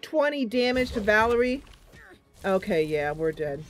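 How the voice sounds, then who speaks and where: A chatty woman talks through her game as she plays, pausing to think with little ums and calling out to her viewers. Her voice sits at a middle pitch for a woman. Soft game music runs faintly underneath. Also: very animated. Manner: playful, addresses viewers directly. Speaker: gaming channel host. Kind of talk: casual let's-play commentary.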